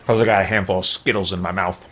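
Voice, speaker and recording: A man's voice: deep voice, steady tone, fast talker, fairly bright tone, slight background noise. A man giving casual let's play commentary, rambling and thinking aloud as he goes. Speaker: gamer playing and commenting on a role-playing game.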